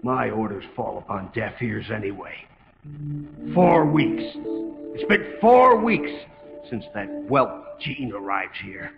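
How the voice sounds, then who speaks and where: A man's voice: on the low side, big pitch swings, slow pace, gravelly, big-room sound, lo-fi sound, full character voice. A man playing a pompous, blustering, middle-aged Soviet colonel in a video game, with theatrical delivery. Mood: bitter, scornful. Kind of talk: dramatic video game acting. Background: loud music.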